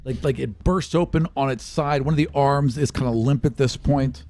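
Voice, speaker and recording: A man's voice: mid-range voice, moderate pace, a little mellow, slight background noise. A man casually narrating a tabletop role-playing game as the game master. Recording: faint music under the voice. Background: music.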